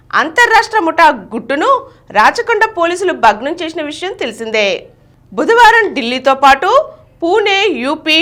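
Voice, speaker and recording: Female news reader, high voice with animated pitch movement, reading scripted bulletin copy.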